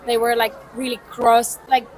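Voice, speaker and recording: A woman's voice: on the high side, level tone, moderate pace, low-quality recording. A woman from Ukraine, a scout leader, speaking frankly and at length on the street. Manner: frank, flowing complaints. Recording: busy crowd behind.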